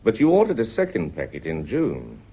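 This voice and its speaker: An actor playing a courteous but relentless police inspector in a radio play, questioning in a deliberate, insistent way. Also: male voice, low voice, huge pitch swings, mellow, slightly rough, full character voice. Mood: shrewd, insistent.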